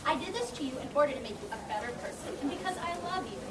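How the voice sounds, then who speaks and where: A woman's voice: sits high, animated, racing, a loud crowd. A young woman playing a bossy beggar husband in an amateur puppet show. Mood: coaxing. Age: young adult. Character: bossy beggar husband, secretly a king, stern. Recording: echoey room, distant mic, loud noise.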